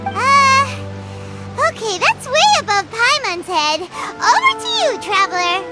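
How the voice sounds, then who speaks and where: A woman's voice: very high-pitched, big pitch swings, slow pace, loud music behind the voice, exaggerated voice. A woman voicing a tiny, cheerful, childlike fairy sidekick in a video game, with a chirpy manner. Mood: curious. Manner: chirpy, talks of herself in third person. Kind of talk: animated video game dialogue.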